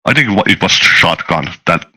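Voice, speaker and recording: A man talking casually as a game designer being interviewed, halting and often restarting his words. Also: low-pitched, animated, pushed and bright. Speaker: tabletop game designer, guest.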